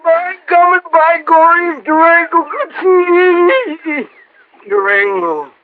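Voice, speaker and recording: An actor playing a folksy, loyal old sidekick with a rural Oklahoma drawl in a radio drama. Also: male voice, very high voice, lively intonation, slow pace, heavily acted. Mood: earnest. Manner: drawling folksy country talk. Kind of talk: 1950s radio drama acting. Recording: phone-like old radio recording.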